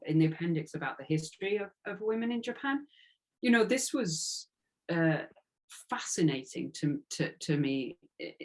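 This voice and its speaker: A woman's voice: deep voice, a little mellow. A woman giving a reflective interview as an author, speaking earnestly and tentatively and circling around her phrases.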